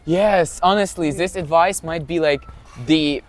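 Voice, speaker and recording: A young man with a fairly high voice chats with students as he interviews them. He laughs along easily and speaks English as a second language, with Ukrainian as his first language. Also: unhurried. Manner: chatty, laughs along, non-native phrasing. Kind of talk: casual street interview.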